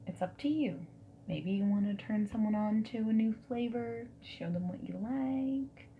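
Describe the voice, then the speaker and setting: A woman doing a friendly, reassuring ASMR roleplay as a dating service consultant, soft-spoken and often whispering. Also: fairly high pitch, moderate pace, narrow, tinny audio, a little hiss or hum. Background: mechanical keyboard typing and clicks.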